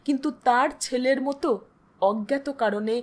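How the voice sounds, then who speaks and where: A woman reading literary fiction as a storyteller, in a solemn, deliberate, dramatic audiobook style. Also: high voice.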